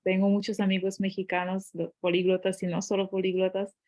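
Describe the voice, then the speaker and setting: A woman's voice: mid-range voice, monotone, brisk, mellow. A woman talking casually in a second language, hesitating often with fillers and restarts. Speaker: guest polyglot. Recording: slightly dull lo-fi audio.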